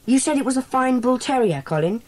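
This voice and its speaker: A woman playing a bossy, sensible schoolboy leader in a children's audio drama, speaking in a brisk, decisive way. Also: sits high, animated. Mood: authoritative.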